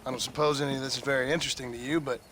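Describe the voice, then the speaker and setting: A man's voice: medium pitch, level tone, rapid-fire, a bit bright, slight background noise, lightly acted. A man playing a weary, guarded former outlaw with an American accent, in a dry, courteous, understated manner for a western video game. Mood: grave, confessional. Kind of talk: video game character acting.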